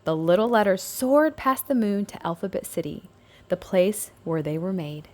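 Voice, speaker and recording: A woman's voice: mid-range voice, animated, normal speed, darkish tone. A woman narrating a scripted picture book aloud for young children, as a storybook reader.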